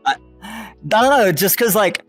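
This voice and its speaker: A woman's voice: medium pitch, lively intonation, measured pace, slightly rough, faint music behind. A woman chatting casually as a podcast co-host, in a playful, teasing way.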